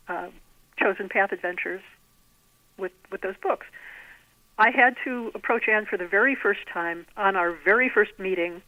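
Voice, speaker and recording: Middle-aged female author, interviewed by phone; low-pitched, articulate and discursive, thin narrowband sound. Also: no echo. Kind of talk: conversational interview answers. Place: remote call into a podcast. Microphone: telephone. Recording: narrow tinny phone line.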